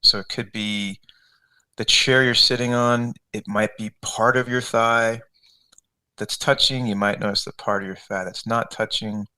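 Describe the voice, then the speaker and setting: A man leading a guided meditation as a coach, giving unscripted, conversational instructions step by step with gentle repetition. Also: lowish voice, steady tone, measured pace, clean tone.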